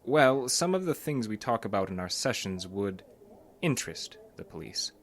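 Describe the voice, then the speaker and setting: Low-voiced, concerned male psychologist. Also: sing-song. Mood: concerned, cautioning. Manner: earnest, measured, gently pressing. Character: concerned, probing male psychologist, earnest and cautioning. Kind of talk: audio drama acting.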